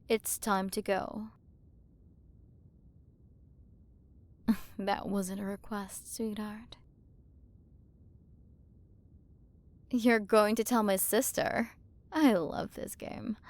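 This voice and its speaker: A woman playing a haughty, possessive alien galaxy queen in an ASMR roleplay, condescending and flirtatious as she toys with the listener. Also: medium pitch. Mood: teasing, menacing. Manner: condescending, flirtatious, drawn-out delivery with breathy drops into whispers. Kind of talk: ASMR roleplay, scripted character acting.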